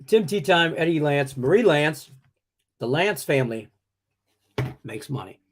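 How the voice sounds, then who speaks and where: A man's voice: on the high side, lively intonation, unhurried. A middle-aged man hosting a sports betting show, chatting loosely and joking, his voice congested and slightly cracky from a cough. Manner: loose and joking, rambling with many fillers. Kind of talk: casual live-stream chat.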